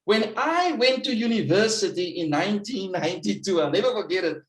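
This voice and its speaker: A man's voice: sits high, expressive, brisk, reverberant. A man with a South African accent whose first language is Afrikaans, telling his life story earnestly in an interview, repeating himself and saying "you know" often. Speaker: guest educator.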